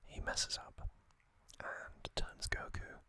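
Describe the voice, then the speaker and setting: A man narrating trivia as an ASMR host in a gentle, breathy, deliberate whisper.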